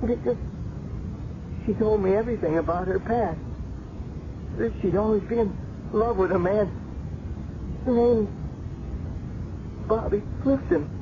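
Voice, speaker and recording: A man's voice: high voice, unhurried, long pauses. An actor playing a weak, anguished young man in a 1970s radio drama, stammering and pleading in faltering phrases. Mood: broken, confessing. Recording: muffled, noisy 1970s radio drama recording.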